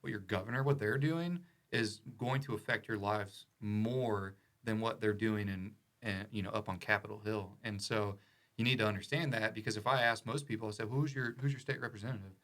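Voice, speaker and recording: A man with a fairly low voice speaks in a loose, conversational podcast style. He is opinionated and emphatic, repeating phrases to drive a point home. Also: brisk, a bit bright. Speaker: podcast guest, attorney. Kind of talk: casual opinionated podcast chat.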